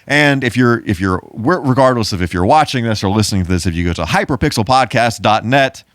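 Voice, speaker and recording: A man's voice: medium pitch, animated, fairly quick, no room sound, studio quality. A man co-hosting a podcast in casual chat, with a jokey, mock-grandiose way of addressing listeners. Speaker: podcast co-host.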